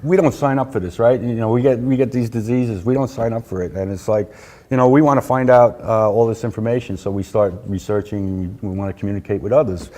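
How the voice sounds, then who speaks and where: A man's voice: lowish voice, fairly even intonation, fast, mellow. A middle-aged man from New York, a patient advocate chatting casually as an interview guest, with lots of fillers and easy laughs, on a lapel mic in a conference room.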